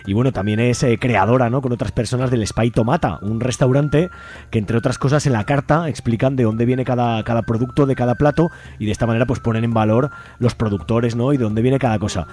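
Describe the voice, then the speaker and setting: A man's voice: medium pitch, darkish tone. A man hosting a radio talk show, interviewing guests and a caller in a conversational way with frequent tag questions. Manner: brisk, leans on tag questions. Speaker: radio host.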